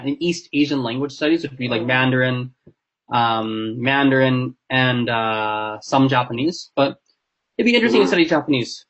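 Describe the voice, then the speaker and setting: A young man whose first language is Gujarati, answering viewer questions in a relaxed, rambling way at a mid-range pitch. He muses aloud and circles back on his own sentences. His voice comes through slightly dull, lo-fi stream audio. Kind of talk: casual livestream chat. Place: at home.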